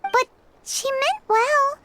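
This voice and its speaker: A woman voicing a tiny, childlike fairy companion girl in an anime game, with a squeaky voice and an earnest, expressive manner. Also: slow pace, a little hiss or hum, exaggerated voice. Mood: gently comforting.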